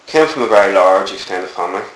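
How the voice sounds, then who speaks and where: A man's voice: low voice, fast talker, a bit bright. A middle-aged man from Northern Ireland with a Belfast accent, recalling his childhood in a reflective, rambling way with many fillers. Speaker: interviewee. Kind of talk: oral history interview. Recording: echoey room with faint hiss.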